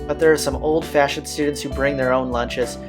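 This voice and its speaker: A man's voice: medium pitch, steady tone, brisk, over music. A man reading a visual novel's narration aloud as a let's player, with occasional casual asides. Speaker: video game let's player.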